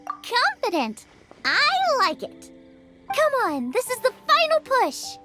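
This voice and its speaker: A woman's voice: extremely high pitch, huge pitch swings, takes it slowly, loud music behind the voice, full character voice. A woman playing a cheerful, passionate teenage girl chef in a video game, eager and exclamatory. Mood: eager, encouraging.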